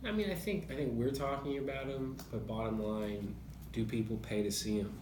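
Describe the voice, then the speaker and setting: A man's voice: mid-range voice, moderate pace. A man speaking as a boxing journalist guest, musing casually through a sports discussion. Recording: roomy echo, clear background noise.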